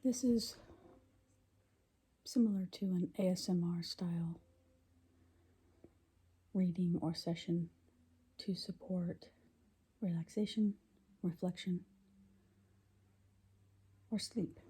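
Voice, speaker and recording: A woman reading poetry in a gentle, deliberate, breathy ASMR whisper. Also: lowish voice, fairly even intonation. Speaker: poetry reader.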